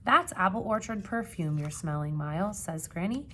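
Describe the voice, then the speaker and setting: A woman reading a children's picture book aloud like a teacher, with expressive emphasis and questions put to young listeners. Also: on the low side, slight background noise. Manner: expressive, dramatic gasps and emphasis, pausing to ask questions.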